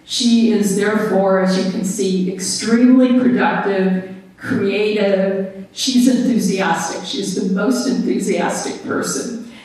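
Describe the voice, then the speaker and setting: A woman gives a formal introduction of a guest speaker, admiring and steady. Her mid-pitched voice is picked up from a distance in a big hall. Strong echo rings around every phrase. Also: takes it slowly. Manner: warm, admiring, read-out. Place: lecture hall. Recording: echoey hall, heard from afar.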